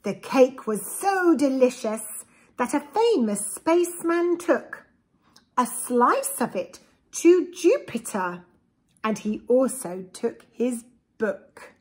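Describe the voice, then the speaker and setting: Preschool storyteller: English woman, fairly high voice, very unhurried, expressive picture-book reading. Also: poor audio. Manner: expressive, deliberate, with simple explanatory asides. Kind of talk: reading a rhyming picture book aloud to young children. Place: small indoor room with bookshelves. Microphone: camera a short distance away.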